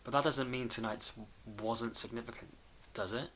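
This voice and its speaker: A man's voice: medium pitch, fairly even intonation, normal speed, fairly bright tone, slight background noise, lightly acted. A man playing an earnest, uneasy character who seeks reassurance in an audio drama, hesitant and questioning.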